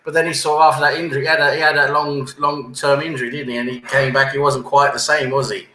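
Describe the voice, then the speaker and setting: A man's voice: medium pitch, little pitch movement, fast, bright, forceful tone. A man from England, a panel guest in a casual chat, who keeps ending his points with tag questions. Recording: echoey room with faint ambience.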